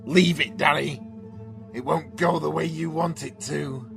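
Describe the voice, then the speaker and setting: A man playing a bitter, cruel old villain in an audio horror drama, gravelly and sneering as he taunts. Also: on the high side, steady tone. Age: older. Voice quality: creaky, gravelly. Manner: sneering, slow, menacing. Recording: music under the voice. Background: dramatic music.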